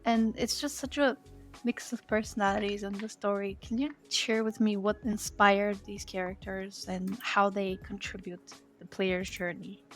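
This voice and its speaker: A woman's voice: medium pitch, steady tone, moderate pace, faint music behind. A woman hosting a podcast interview in a casual, effusive, gushing way. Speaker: podcast host interviewing game creators.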